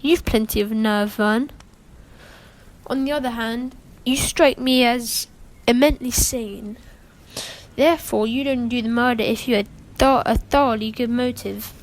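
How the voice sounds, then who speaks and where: A cool, wry gentleman from a radio play, played by a woman. The voice sits a little high. The delivery is glib and teasing, full of dark humour. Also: unhurried, poor audio. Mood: wry, probing.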